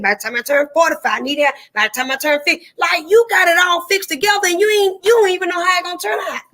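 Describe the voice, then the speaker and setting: A woman's voice: sits high, bright, forceful tone. A woman speaking candidly and emphatically, with a preachy, repetitive cadence. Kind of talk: candid testimony-style chat.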